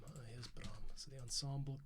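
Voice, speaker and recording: A man's voice: mid-range voice, fairly even intonation, a little fast. A man thinking aloud as a livestreaming composer, casual and self-critical, with muttered asides. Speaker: composer livestreaming his work.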